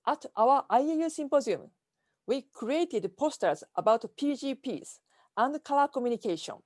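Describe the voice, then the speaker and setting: Lively-pitched woman with a Japanese accent. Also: no room sound, studio quality. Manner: careful, measured delivery reading from slides. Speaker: keynote speaker. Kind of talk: prepared conference talk. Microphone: video call.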